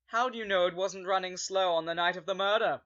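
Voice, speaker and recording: A man's voice: high voice, steady tone, fairly quick, dull audio. A man playing a hostile, cocky murder suspect on a witness stand in a courtroom audio drama, sneering and coming unhinged. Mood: smug. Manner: sneering, stammering under pressure, shouting.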